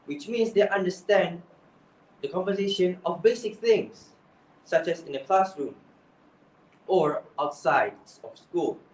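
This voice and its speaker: A man's voice: high voice, a little slow, long pauses, a little mellow, slight background noise. A young man with a Malaysian accent giving exam tips, earnest and often restarting his phrases. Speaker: English speaking coach. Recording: echoey room, voice from far off.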